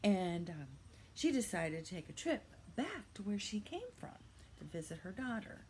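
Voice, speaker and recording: A woman's voice: medium pitch, very animated, normal speed, a little mellow, a little hiss or hum. An older woman telling a playful fairy-tale style story with theatrical narration and chatty asides. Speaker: vlogger telling a reselling story.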